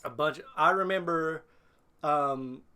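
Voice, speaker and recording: A man's voice: on the high side, slow pace, bright, forceful tone, smooth. A man hosting a reaction show in casual chat, slangy and given to swearing. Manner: slangy, profane.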